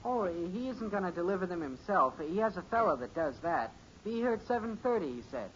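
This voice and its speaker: An actor playing an earnest, stubborn and gullible teenage son in a 1950s radio sitcom, with boyish, stammering protests. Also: male voice, sits high, sing-song, a little fast. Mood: hopeful, anxious. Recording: muffled, hissy old radio recording.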